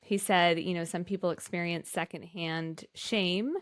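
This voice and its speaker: A woman's voice: fairly low pitch, steady tone, a little slow. A middle-aged woman telling a personal story casually on a podcast, in an informal, emphatic way. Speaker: podcast panelist.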